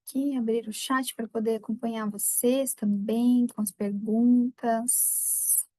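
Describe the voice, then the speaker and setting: A woman from southern Brazil with a faint Gaúcho lilt speaks with a slightly high, friendly voice. She runs a live online talk in a casual, chatty way. The sound is dry and close, as from a computer microphone. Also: level tone, slow pace, no echo. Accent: light Gaúcho Brazilian Portuguese. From Rio Grande do Sul, Brazil. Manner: chatty, warm greetings to viewers by name. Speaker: webinar speaker.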